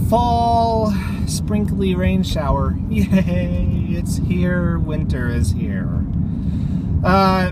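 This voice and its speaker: A man with a somewhat high voice chats casually to his viewers from inside a moving car. He thinks out loud, hesitating and wandering off his point. Loud road and vehicle noise runs all through the recording. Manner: digressive, hesitant, thinking aloud. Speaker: vlogger. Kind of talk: casual rambling vlog talk to viewers. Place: inside a car while driving in rain.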